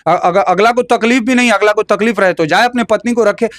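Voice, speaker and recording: A man's voice: high voice, fairly quick, fairly bright tone, no room sound, clean studio sound. A man with a Bhojpuri accent giving heated opinions in an interview, emphatic and combative, repeating phrases and firing rhetorical questions.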